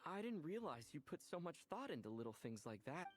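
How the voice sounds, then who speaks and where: A woman voicing a calm, kind young traveler heroine in a video game, with a gentle, understated manner. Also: deep voice, fairly quick, faint music behind. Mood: curious.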